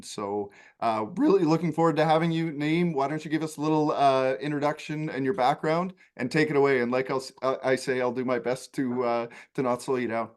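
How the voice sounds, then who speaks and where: A man with a medium-pitched voice moderates an online farming webinar. He speaks casually and informally, with a self-deprecating way of introducing things.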